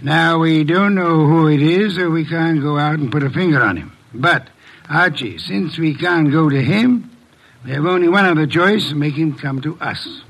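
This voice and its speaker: A shrewd, condescending detective in an old radio mystery. His voice sits fairly high with a gravelly creak, and he speaks in formal, precise, pompous sentences. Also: normal speed, a bit bright. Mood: brisk, authoritative. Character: shrewd, imperious middle-aged detective, dry and condescending. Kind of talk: classic American radio mystery acting. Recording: old radio drama recording.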